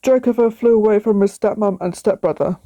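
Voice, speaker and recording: A woman's voice: medium pitch, brisk, dry recording, dull audio. A woman narrating a story aloud, sometimes stumbling and repeating words.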